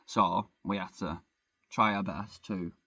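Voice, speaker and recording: Gravelly young Englishman rambling casually. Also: low-pitched, steady tone, slow pace, sharp tone, no echo, clean studio sound. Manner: rambling, swears freely, self-deprecating jokes. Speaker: vlogger. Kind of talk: casual chat. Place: living in a van.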